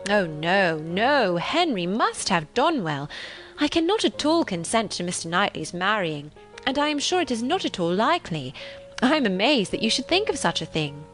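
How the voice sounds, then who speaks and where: A woman voicing a spirited, opinionated young gentlewoman in an audiobook, with emphatic, exclamatory delivery and formal period phrasing. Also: mid-range voice, sing-song, normal speed, soft background music. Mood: shocked, indignant.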